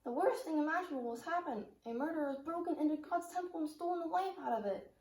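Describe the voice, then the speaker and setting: A woman's voice: high voice, expressive, fast talker, darkish tone. A woman playing an earnest nobleman in a puppet play, urgent and declamatory as he raises the alarm. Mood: horrified, urgent. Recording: roomy, echoing room sound.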